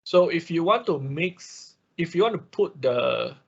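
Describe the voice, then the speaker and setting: A man giving an informal technical lesson online, explaining haltingly, repeating himself and tagging phrases with quick check-ins. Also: a little high, lively intonation, creaky. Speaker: workshop instructor. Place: online class over a computer. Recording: dull lo-fi online call audio.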